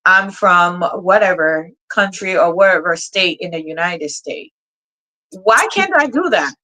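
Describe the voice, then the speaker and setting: A woman from Liberia hosting a casual discussion, often halting and repeating words as she works out her point. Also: fairly low pitch, lively intonation, sharp tone, poor audio. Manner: halting, repeats words while searching for phrasing. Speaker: host of an online discussion. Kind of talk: casual debate moderation.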